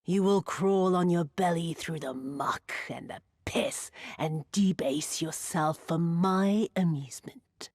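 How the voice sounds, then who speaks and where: An actress playing a cruel, vengeful woman in a video game, mocking and imperious, with a theatrical, drawn-out delivery that sinks into breathy whispers. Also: on the low side, darkish tone, no room sound, clean studio sound. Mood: cold menace. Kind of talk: dramatic video game cutscene acting.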